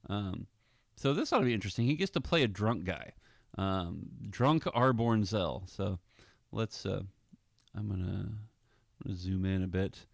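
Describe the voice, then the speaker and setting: A man chats easily through his vlog as an audio drama director. His voice sits a little low, with an amused, relaxed delivery in a dry, close recording. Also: moderate pace, creaky, no echo. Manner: amused, easygoing. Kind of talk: casual vlog commentary.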